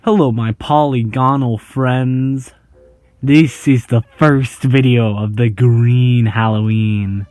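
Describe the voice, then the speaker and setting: A man hosting his own online video channel, explaining horror videos in a relaxed, jokey way and reading on-screen text aloud. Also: mid-range voice, takes it slowly. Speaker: YouTube host. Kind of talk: casual video commentary.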